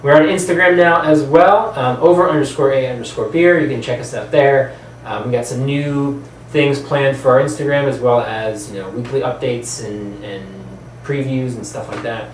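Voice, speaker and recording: A man's voice: medium pitch, steady tone, normal speed. Echoey, distant-miked man chatting casually. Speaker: host of a video channel about films and games. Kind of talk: casual chat. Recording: roomy echo, distant mic, faint noise.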